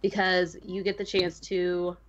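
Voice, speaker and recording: A woman's voice: fairly low pitch, completely flat delivery, unhurried. Chatty woman on a slightly dull mic. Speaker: podcast co-host discussing a video game. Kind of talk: casual chat.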